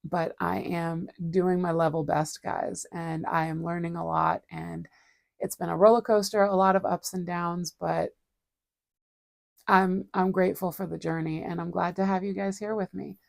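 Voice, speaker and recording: A woman, chatting casually and rambling as she hosts a relaxed art livestream, laughing often and poking fun at herself. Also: on the low side, normal speed, gravelly, no room sound, clean studio sound. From Boston area, USA. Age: 40s. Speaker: livestream host and artist. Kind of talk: casual chat. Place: home studio.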